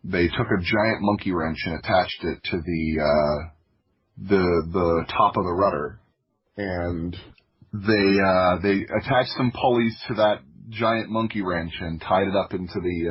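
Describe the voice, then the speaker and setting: A man with a deep and very husky voice shares personal stories casually. He gropes for words and thinks aloud as he talks. The recording is a little dull and lo-fi. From Florida, United States. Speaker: podcast guest, audio drama creator. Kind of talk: casual autobiographical storytelling. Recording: dull, lo-fi audio.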